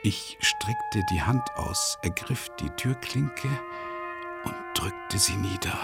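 An actor playing a tormented, self-doubting man who narrates a radio drama monologue in a brooding, intense way, with a gravelly, husky voice. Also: medium pitch, huge pitch swings, slow pace, loud music behind the voice, heavily acted. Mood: anxious, hushed. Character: tormented, self-doubting, impoverished male travelling lecturer. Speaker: first-person narrator.

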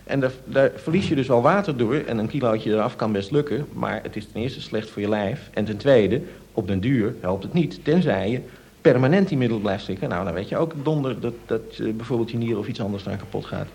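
A man in an informal radio discussion, answering questions as an expert. He explains step by step in a hesitant way, often searching for the right word, at a middling pitch. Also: animated, fairly quick, creaky, a little mellow.

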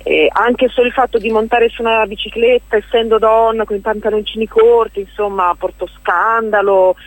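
A woman's voice: a little high, fairly even intonation, normal speed, no echo, narrow, tinny audio. A woman answers interview questions conversationally, often hesitating and rephrasing as she explains. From Romagna, Italy. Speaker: stage actress, interview guest.

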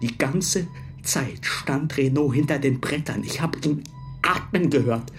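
An actor playing a beaten-up, bitter football goalkeeper in a crime radio drama, giving aggrieved, halting testimony. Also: male voice, a little high, natural voice. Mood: halting, tense. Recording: faint music under the voice.

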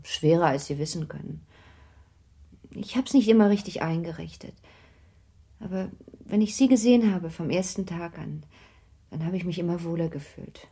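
An actress playing a melancholy, gentle young married lady of the nineteenth century in a literary radio drama, speaking in a wistful, genteel way. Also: on the low side, normal speed, pauses often, husky. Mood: wistful farewell. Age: young adult. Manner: wistful, genteel, softly emphatic.

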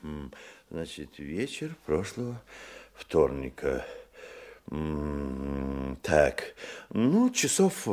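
A boastful, nervy male womaniser in a radio drama. His lowish, creaky voice swings extravagantly up and down. He is effusive and theatrical, given to hesitant stammering. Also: a little mellow, exaggerated voice. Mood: nervous, evasive. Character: boastful, florid, nervous womanising male hotel guest.

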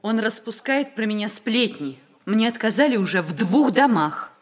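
A woman's voice: on the high side, animated, moderate pace, natural voice. An actress playing a hot-tempered, easily upset young student woman in a 1960s radio comedy, speaking with emphatic indignation. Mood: heated.

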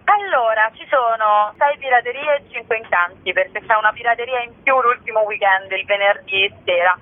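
A woman's voice: a little high, moderate pace. A woman speaking spontaneously as a festival curator in an interview, sometimes searching for words mid-phrase, over a telephone. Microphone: telephone call. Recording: narrow, tinny telephone line.

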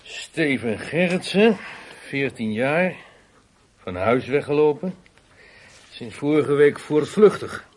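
A man playing an older police officer in a radio play, questioning in a curt, measured way. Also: on the high side, lively intonation, darkish tone. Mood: stern, gruff. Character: older male police officer behind a desk, curt, unhurried. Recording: noisy old radio play recording.

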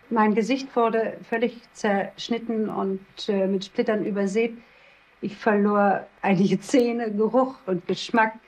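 A woman interviewee recounting events in a composed, formal and measured manner. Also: fairly high pitch, rough recording.

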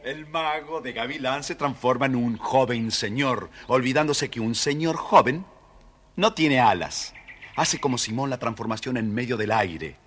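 A man narrating a children's story from a script in an expressive, dramatic storytelling manner. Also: mid-range voice, unhurried, slight background noise.

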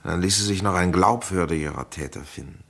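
An inquisitive man who muses over theories in a radio crime play. His voice is fairly low. He speaks hesitantly, as if thinking aloud. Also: a little fast, creaky, fairly bright tone, exaggerated voice. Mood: musing. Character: inquisitive, musing man with theories about a murder. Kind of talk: German radio crime drama acting.

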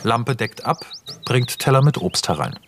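A man's voice: medium pitch, big pitch swings, normal speed, slight background noise. A man narrating a radio drama, reading stage directions in a terse, matter-of-fact way.

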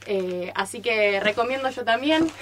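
A woman's voice: on the high side, animated, measured pace, background noise. A young woman speaking casually and unscripted as a studio guest, with an informal, giggly manner. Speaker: guest visiting a radio studio. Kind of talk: casual, unscripted thanks on air.

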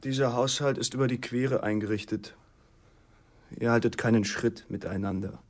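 An actor in a German radio drama playing a defiant, outspoken farm servant who talks in a dry, deliberate, insolent way. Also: male voice, mid-range voice, fairly even intonation, lightly acted. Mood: knowing, dry.

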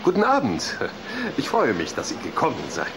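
An actor playing a courteous, slightly bumbling middle-aged psychologist in a children's audio drama, coaxing and hesitant. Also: male voice, medium pitch, huge pitch swings, normal speed, very noisy. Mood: welcoming.

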